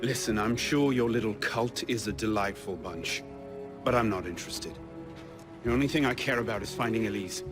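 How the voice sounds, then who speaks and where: A man's voice: fairly low pitch, normal speed, music in the background, lightly acted. An actor playing a brash, flippant young man in a video game, dry but earnest underneath. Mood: dismissive. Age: young adult. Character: brash young assassin-to-be, headstrong, lovesick and flippant.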